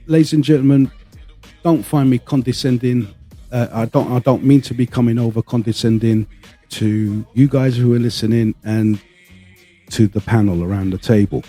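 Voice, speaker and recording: A male podcast host who grew up speaking Greek first. His voice is dark and rough-edged at a middle pitch. He talks casually and openly, pausing to find his words, with faint music behind him. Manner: halting, candid, searching for words. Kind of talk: casual panel chat.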